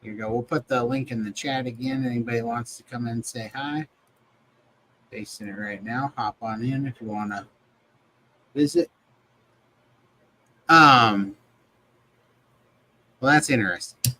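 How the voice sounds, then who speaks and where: A man's voice: mid-range voice, measured pace, pauses often, pushed and bright, a little hiss or hum, poor audio. A man hosting a casual livestream chat over a video call. He jokes often and laughs easily. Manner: jokey, laughs often, chatty. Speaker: livestream host.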